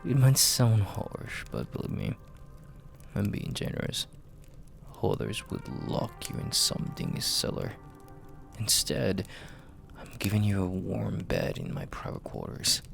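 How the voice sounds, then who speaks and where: A man playing a dominant, flirty and possessive mafia boss in an intimate audio roleplay, speaking in a gravelly, breathy half-whisper with a commanding, teasing manner. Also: lowish voice, heavily acted. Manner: slow, commanding, teasing, with drawn-out pauses.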